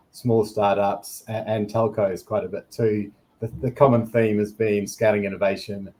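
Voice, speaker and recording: Man, New Zealand accent, guest on a video call, relaxed explanatory talk, low-pitched. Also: rough recording. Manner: relaxed, explanatory, with some quick bursts. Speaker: guest, product director. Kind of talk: conversational self-introduction.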